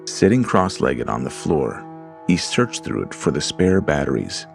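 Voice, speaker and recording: A man narrating a horror story in a slow, deliberate storytelling manner. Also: a bass voice, very animated, vocal fry. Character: Lars, a frightened man alone in a dark house. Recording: music under the voice.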